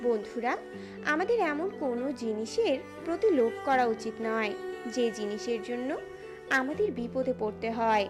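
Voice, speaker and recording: A woman's voice: fairly high pitch, lively intonation, moderate pace. Woman narrating fairy tales over music. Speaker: story narrator. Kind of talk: scripted fairy-tale narration.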